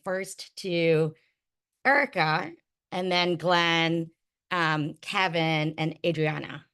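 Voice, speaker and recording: Woman panel moderator, lower pitch, brisk and upbeat. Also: fairly bright tone, smooth. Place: conference stage.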